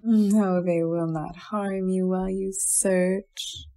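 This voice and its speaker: A woman's voice: fairly low pitch, fairly even intonation, takes it slowly, mellow. A woman playing a cool, commanding fairy ruler in an audio play.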